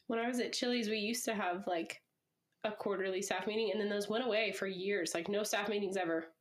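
A woman's voice: mid-range voice, fairly even intonation, fairly quick. A young woman hosting a casual podcast, slightly wheezy from a recent illness, chatting and laughing often. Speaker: podcast host. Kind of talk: casual chat. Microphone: headphones, podcast mic.

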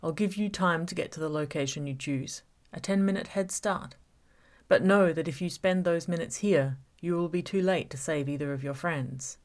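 A woman's voice: low-pitched, normal speed, lightly acted. A woman voicing a taunting, manipulative male villain in an audiobook, gloating and mock-reasonable. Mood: gloating menace. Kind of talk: audiobook character voice.

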